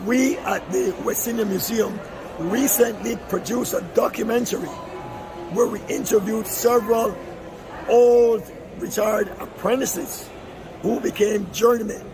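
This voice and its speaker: Hoarse older man, high voice. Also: steady tone, a little slow, music in the background, low-quality recording. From Panama. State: hoarse, lost voice. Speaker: museum representative, retired canal manager. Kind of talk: street interview. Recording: outdoor fair with crowd noise. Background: crowd at an outdoor fair.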